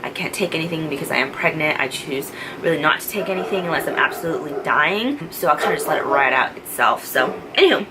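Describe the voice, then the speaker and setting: A young woman whose voice is stuffy and congested from a cold rambles cheerfully through a makeup product review. Her voice sits a little low, and she speaks close to the camera in a home room with faint ambient sound behind her. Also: expressive, fast talker, background noise. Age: young adult. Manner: chatty, rambling, enthusiastic. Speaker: beauty vlogger. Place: home beauty room, indoors. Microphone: camera at close range.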